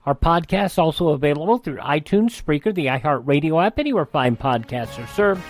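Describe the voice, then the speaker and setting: A man's voice: mid-range voice, darkish tone, dry recording. Male radio host, faint music behind. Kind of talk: scripted radio narration.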